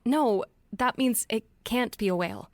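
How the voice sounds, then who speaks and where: A woman's voice: on the high side, unhurried, no echo, close to an everyday voice. A woman playing a forthright, logical scientist in an audio drama, casual and sardonic as she explains things. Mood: matter-of-fact. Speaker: actor.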